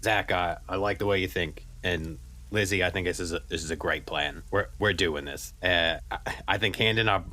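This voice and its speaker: A man playing a tabletop roleplaying game in character, speaking in a halting way and repeating words as he goes. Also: deep voice, bright, forceful tone.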